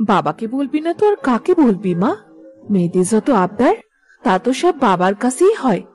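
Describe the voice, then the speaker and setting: A woman playing a gentle, wistful mother in a poor village family in a moral-story cartoon, speaking soothingly. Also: on the high side, sing-song, moderate pace, soft background music. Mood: wistful, consoling.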